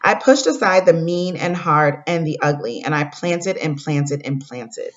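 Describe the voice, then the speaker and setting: A woman teacher giving a read-aloud lesson to young pupils, speaking in a deliberate way and addressing the children directly. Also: low voice, lively intonation. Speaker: elementary school teacher. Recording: dull lo-fi recording.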